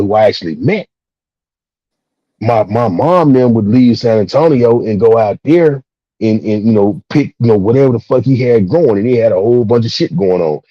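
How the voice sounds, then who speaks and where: Casual male panelist, husky and relaxed, halting but emphatic, swears freely, lo-fi sound. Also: on the low side. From Texas, United States. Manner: emphatic, repetitive, halting phrasing. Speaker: discussion participant. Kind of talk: casual, profane panel discussion. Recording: dull, roomy, muffled lo-fi audio.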